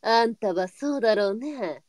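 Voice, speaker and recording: A woman's voice: on the high side, lively intonation, moderate pace, a little mellow, no room sound, studio quality, natural voice. A woman playing a pragmatic, brusque brothel mistress in a live period voice drama, with a blunt and knowing way of talking. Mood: matter-of-fact. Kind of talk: online live voice drama acting.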